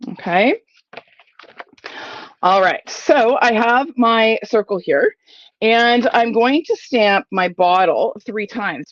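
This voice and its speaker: A woman with a slightly croaky voice from a cold, chatting through a live card-making tutorial at her craft desk and answering viewer questions. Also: medium pitch, no room sound. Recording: dull lo-fi dry sound.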